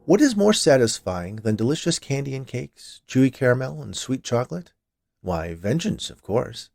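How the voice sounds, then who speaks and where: A man reading his own short story as scripted narration, with an expressive, theatrical delivery. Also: medium pitch, moderate pace, vocal fry, dry recording, studio quality.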